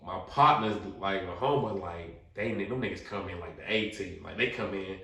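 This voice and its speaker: A man's voice: normal speed, gravelly. A young man, telling a story in casual slangy podcast talk and acting out quoted lines. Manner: animated, slangy, acts out quotes in a high voice. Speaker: podcast guest. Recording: roomy echo, distant mic.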